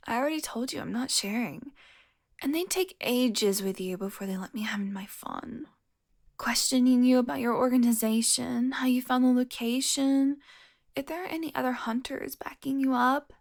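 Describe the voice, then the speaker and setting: A woman's voice: on the high side, normal speed. A woman playing a teasing, flirtatious, sardonic and foul-mouthed vampire in an audio roleplay, speaking straight to the listener. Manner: sardonic, teasing, breathy asides.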